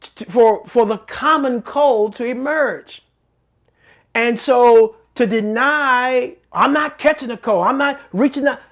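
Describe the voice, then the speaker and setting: A man's voice: extremely high pitch, slow pace, fairly bright tone. Man, faith talk host, sermon-like teaching, emphatic repetition, earnest testimony.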